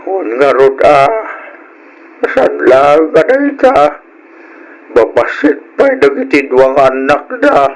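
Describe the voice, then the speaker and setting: An actor playing a sympathetic older man in an old Ilocano radio drama, with a drawn-out, lamenting, exclamatory way of talking. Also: fairly high pitch, very animated, poor audio.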